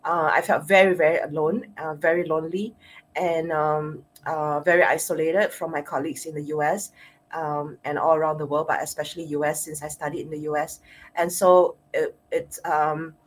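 Husky Singaporean woman interviewer. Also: sits low, steady tone, normal speed. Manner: hesitant, many uhs, repeats words. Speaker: interviewer, sexologist. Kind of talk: interview host.

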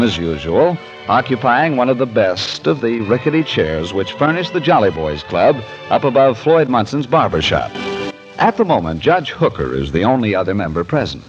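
Man, 1940s radio announcer, scripted, animated, muffled old recording, music behind. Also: mid-range voice.

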